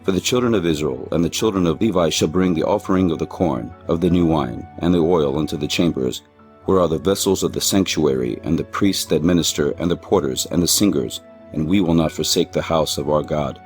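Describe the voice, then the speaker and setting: A man with an extremely deep voice reads scripture from a script. His delivery is formal and measured. Music sits behind the voice. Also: a little mellow. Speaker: Bible reader.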